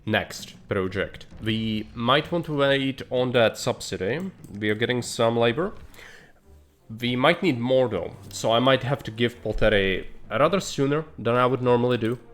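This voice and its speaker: A man's voice: medium pitch, measured pace, fairly bright tone, faint music behind. A man streaming live, thinking aloud in dry, blunt remarks as he plans a city build. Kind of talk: live gameplay commentary.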